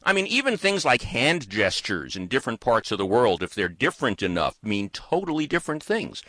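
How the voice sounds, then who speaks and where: A man in casual political chat, thoughtful and animated, talking in long winding runs. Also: mid-range voice, a little fast, no room sound, clean studio sound. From United States.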